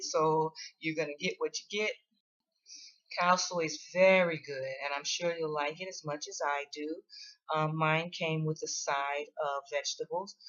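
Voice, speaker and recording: A woman hosting a how-to video, explaining things in a casual, unhurried, plain-spoken way. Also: sits low, a bit bright, dull audio. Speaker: host of a how-to video on ordering food.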